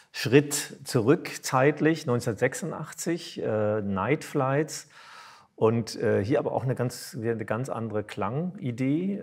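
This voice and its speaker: Guy hosting a concert q&a, gravelly and husky, kinda halting, feels for his words. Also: medium pitch, a little mellow. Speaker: interviewer, concert moderator. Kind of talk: on-stage concert interview.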